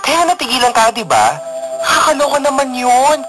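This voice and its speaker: Sassy, animated Filipina gossip friend. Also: female voice, high voice, normal speed, rough recording. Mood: scandalised. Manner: sassy, exclamatory Taglish slang. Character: nosy, sassy young female college friend, teasing gossip. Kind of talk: Filipino radio drama acting. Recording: music bed behind dialogue.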